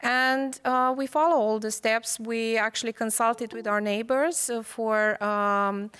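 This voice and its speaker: A woman's voice: a little high, fairly even intonation, a little slow, fairly bright tone, clean tone. A woman whose first language is Romanian, speaking as a parent guest on a panel, hesitant and full of fillers as she searches for words. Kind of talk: conversational panel interview.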